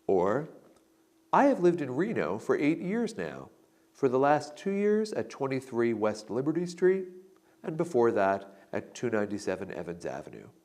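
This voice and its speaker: A man narrating an English lesson, reading out model answers slowly and deliberately. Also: medium pitch, huge pitch swings, a little mellow. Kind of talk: slow instructional model answers.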